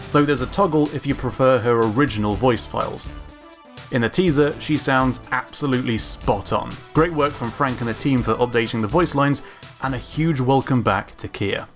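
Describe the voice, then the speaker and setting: A man giving scripted analytical commentary as a video narrator, in an informal, measured, opinionated way. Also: mid-range voice. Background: background music.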